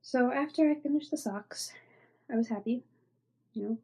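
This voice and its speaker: Stuffy-voiced woman chatting softly about knitting. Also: fairly high pitch, normal speed, husky, rough recording. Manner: rambling, filler-heavy, trailing off into soft near-whispers. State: stuffy, congested. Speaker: knitting podcast host. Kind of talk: casual show-and-tell chat.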